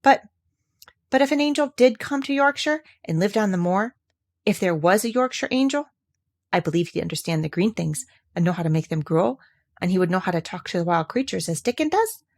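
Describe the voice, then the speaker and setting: A woman's voice: medium pitch, expressive, moderate pace. Woman as a frank, earnest young girl. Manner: plain-spoken, earnest, childlike. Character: frank, sturdy, matter-of-fact young girl.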